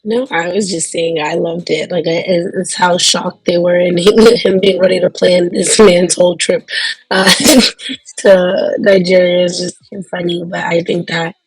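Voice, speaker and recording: A woman from Trinidad chats in a casual discussion. Her voice is husky and fairly flat in pitch, and the sound is close and dry. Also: measured pace, dry recording, low-quality recording. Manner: chatty, laughs between thoughts. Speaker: participant.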